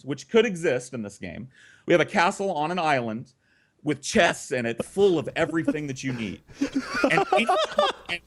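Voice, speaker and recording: A man telling a story casually and comedically, swearing freely and acting out both sides of conversations. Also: mid-range voice. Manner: profane, animated re-enacting of conversations. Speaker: storyteller and host.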